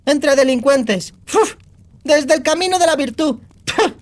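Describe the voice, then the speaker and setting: A woman's voice: high-pitched, animated, unhurried. A woman playing a foul-mouthed, cynical young outlaw girl in a fantasy audiobook, talking in a crude, sardonic, slangy way. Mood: mocking. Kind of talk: acted audiobook dialogue.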